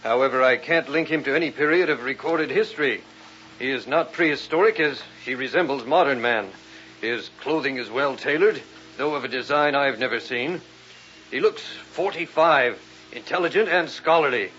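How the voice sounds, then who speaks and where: A man's voice: mid-range voice, normal speed, fairly bright tone, close to an everyday voice. An actor playing an insistent, businesslike male museum researcher, precise and formal, in an old-time radio drama. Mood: analytical. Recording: muffled vintage radio, faint noise.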